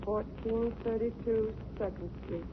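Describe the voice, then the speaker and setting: A woman's voice: fairly high pitch, fairly even intonation, a little slow, close to an everyday voice. An actress playing an eerie, dazed young woman with a terse, vacant manner, in 1940s radio drama style. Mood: dazed, distant. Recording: noisy, narrow-band 1940s radio recording.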